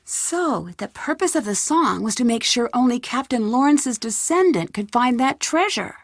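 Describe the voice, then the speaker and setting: A woman's voice: a little high, lively intonation, moderate pace, no room sound, studio quality. An actress playing a friendly, inquisitive young woman detective in a mystery video game, politely asking questions. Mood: curious, polite. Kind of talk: scripted video game dialogue.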